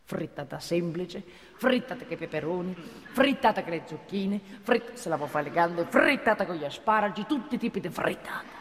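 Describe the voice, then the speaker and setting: An actor playing a gruff, earthy night guard with a Roman accent in a rambling comic stage monologue. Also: male voice, high voice, lively intonation, normal speed, fairly bright tone. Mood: proudly wistful. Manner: colloquial, rambling, rhetorical questions. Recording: echoey hall, noisy stage recording.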